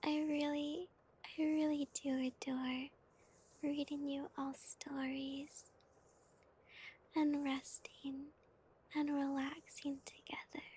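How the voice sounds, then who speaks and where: A woman whispering gently and softly as an ASMR streamer, giggling now and then and playing a cute spooky bat persona. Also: high-pitched, flat delivery, slow pace, long pauses, poor audio. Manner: calls herself a bitty bat, spooky cutesy persona. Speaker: ASMR streamer reading chat.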